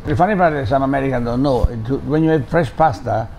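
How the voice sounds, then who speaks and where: Older Italian-accented man, gravelly and dark. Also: medium pitch, fast talker, slight background noise. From Italy. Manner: animated storyteller, repeats words, drops into Italian. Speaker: restaurant owner and chef. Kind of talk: casual interview. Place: bright room by a window.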